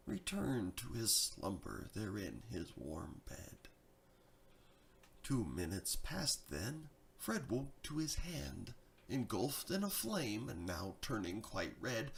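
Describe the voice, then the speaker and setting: Animated, gravelly male verse storyteller. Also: on the low side, slight background noise. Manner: dramatic, sing-song rhythmic delivery. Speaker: storyteller, reader. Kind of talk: theatrical rhyming verse narration of a darkly comic tale.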